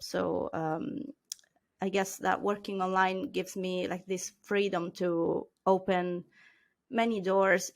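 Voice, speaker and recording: A woman with an Italian accent, a native Italian speaker, chatting as an interview guest over a video call with many fillers and pauses. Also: mid-range voice, gravelly. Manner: hesitant, many fillers, laughs often. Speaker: marketing consultant, guest. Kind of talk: casual interview chat.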